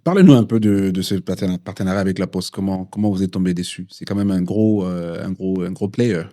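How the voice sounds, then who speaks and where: A man's voice: deep voice, fairly quick, warm tone. A male interview host who offers brief prompts and asks long questions, repeating words as he goes.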